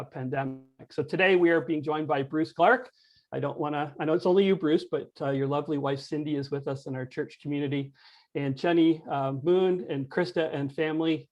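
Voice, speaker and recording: A man hosting a church discussion as a loose, conversational interview, speaking in a halting, informal way. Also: a little high, darkish tone.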